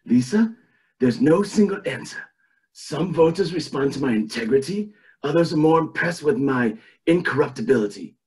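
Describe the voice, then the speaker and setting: A man's voice: a little high, animated, normal speed, a little mellow, breathy edge, low-quality recording. A man on a video call playing a scheming, pompous old tycoon in an amateur cartoon table read, with theatrical delivery. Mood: grandiose. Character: scheming old male tycoon running for governor.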